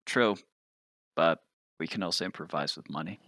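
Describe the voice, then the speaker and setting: A man's voice: deep voice, moderate pace, a bit bright, dry recording, clean studio sound. A man playing a tabletop role-playing game, chatting casually in character.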